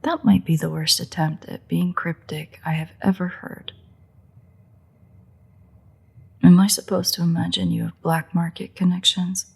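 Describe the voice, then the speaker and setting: Woman acting a husky, gravelly, sardonic vampire woman. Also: fairly low pitch, level tone, normal speed. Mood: teasing, skeptical. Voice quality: husky, breathy, gravelly fry. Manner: sarcastic, mocking, swearing, dry exasperation. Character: sardonic female vampire, teasing, crude, grudging toward a hunter. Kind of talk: roleplay audio drama, intimate monologue to the listener.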